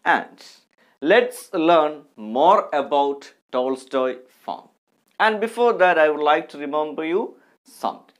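A man in his thirties with an Indian accent speaks as an English teacher in an online class. His voice sits a little high, and he goes very slowly, articulating each phrase carefully in an instructive way. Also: animated. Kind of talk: online lesson with text read aloud.

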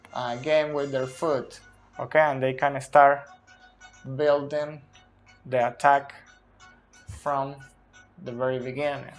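A man in his thirties, speaking English as a second language, slowly explains football tactics at a whiteboard in a small room, often checking in with "okay?", recorded close on a phone. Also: fairly high pitch. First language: not English. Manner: slow, deliberate, frequent "okay?" checks. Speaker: football analyst. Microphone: phone camera close up.

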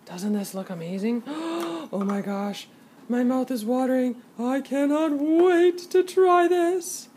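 Woman, off-camera host, exaggerated enthusiastic teasing, very high pitch, home kitchen, phone camera. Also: lively intonation, takes it slowly, warm tone, a little hiss or hum. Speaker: host of a kids' cooking video. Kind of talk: playful mock TV-host banter. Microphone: phone camera from off screen.

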